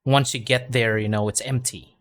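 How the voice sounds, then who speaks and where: Relaxed, encouraging male English tutor. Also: medium pitch, normal speed. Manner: relaxed and encouraging, many "you know"s. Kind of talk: casual conversation lesson.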